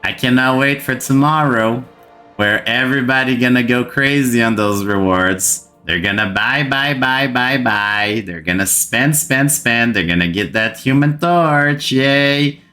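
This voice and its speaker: A man's voice: mid-range voice, unhurried, bright, forceful tone, soft background music. A man from Brazil with a Brazilian accent, hosting a gaming channel and ranting to camera in an exasperated way, repeating words for emphasis. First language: Portuguese. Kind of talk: frustrated gaming rant to camera.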